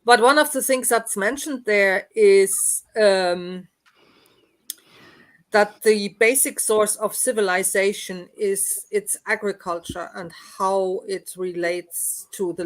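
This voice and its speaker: A woman's voice: mid-range voice, poor audio. A woman talking casually in a book discussion, often ending statements with a questioning tag.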